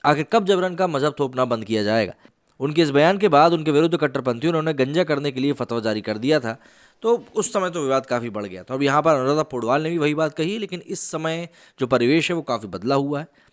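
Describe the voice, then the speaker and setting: A man's voice: a little high, lively intonation, a little fast. A man explaining the news as a channel host, mixing in some English words. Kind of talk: news explainer commentary to camera.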